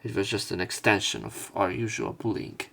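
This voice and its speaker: A man's voice: on the low side, very animated, brisk, gravelly, sharp tone, a little hiss or hum. A man reading visual novel narration aloud in a subdued way, as a let's player.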